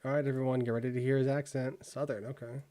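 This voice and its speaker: A man's voice: medium pitch, flat delivery, quick pace, mellow. Chatty young Midwestern man, rambling. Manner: rambling, lots of "like" and "um". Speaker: streamer reviewing viewer work. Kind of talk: casual live-stream chat.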